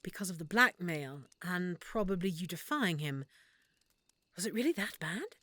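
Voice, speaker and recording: A woman playing an affectionate, excitable half-elf shopkeeper in a roleplay audio drama, speaking expressively and warmly to the listener. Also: on the low side, normal speed, no room sound. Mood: intrigued, attentive. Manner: expressive, warm, reacting with feeling.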